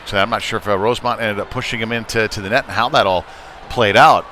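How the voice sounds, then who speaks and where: A man giving live ice hockey play-by-play in short clipped calls with hesitant pauses. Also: fairly low pitch, bright, forceful tone. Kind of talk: live sports commentary. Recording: clear background noise, live rink ambience. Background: ambient sports venue noise.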